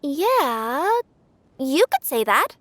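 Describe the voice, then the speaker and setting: A high, lively voice from a cat-spirit delivery girl with tails. She talks in a polite, brisk, friendly way, her pitch lifting and dipping a lot. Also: a little hiss or hum, exaggerated voice. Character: cheerful young cat-spirit courier woman with tails. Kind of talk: game voice acting.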